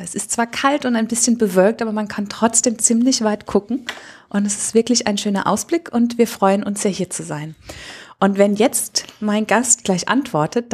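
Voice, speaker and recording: A woman's voice: mid-range voice, a little mellow, slightly rough. A woman hosting a podcast, chatting casually and asking the guest friendly questions. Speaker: podcast host and interviewer.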